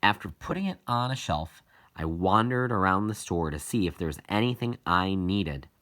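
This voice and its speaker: Man, audiobook narration, lowish pitch, lively intonation, deliberate pauses between phrases. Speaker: audiobook reader. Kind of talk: scripted narration, reading a children's novel aloud.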